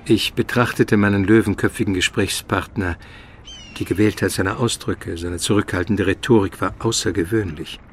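A man's voice: sits low, steady tone, normal speed, soft background music, lightly acted. A man acting in a radio drama as an educated, skeptical chronicler, reflecting in formal, contemplative inner monologue. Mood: pondering.

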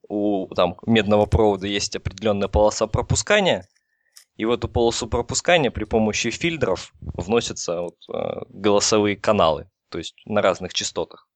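A man giving an informal technical explanation as an expert, in a halting way with many false starts. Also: lowish voice, dry recording. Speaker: telecom engineer explaining as guest expert.

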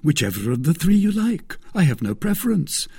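A man playing a lively, teasing young soldier. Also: fairly high pitch, moderate pace, slightly rough, voice pushed up, exaggerated voice. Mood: generous, pleased. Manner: breezy, bantering, assured. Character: brisk, confident young gallant soldier, teasing and generous. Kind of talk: storybook character dialogue.

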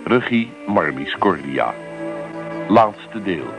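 A man's voice: low voice, animated, slow. A man reading a scripted announcement for a Dutch radio drama serial. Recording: old radio broadcast over music.

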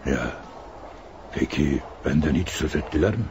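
A man acting a middle-aged scheming restaurant owner in a radio drama, measured and probing, feigning fatherly concern. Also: very deep voice, lively intonation, gravelly, a little mellow, a little hiss or hum, full character voice. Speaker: voice actor. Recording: radio play with music underneath.

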